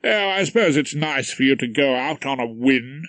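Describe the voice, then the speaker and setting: A prim young barrister, as a male reader puts him on, with a grudging, clipped manner. His voice is pushed up higher than the reader's own. It is a dry, close storybook reading. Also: expressive, normal speed, a bit bright, dry recording, natural voice.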